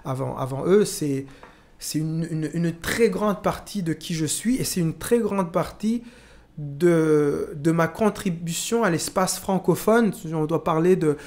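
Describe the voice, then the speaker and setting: A man in his forties from Rwanda, talking slowly and hesitantly with many restarts, recorded on a studio boom mic in a small room. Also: darkish tone. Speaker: podcast host. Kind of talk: reflective conversation. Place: small room with pink walls, indoors. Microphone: studio mic on boom arm.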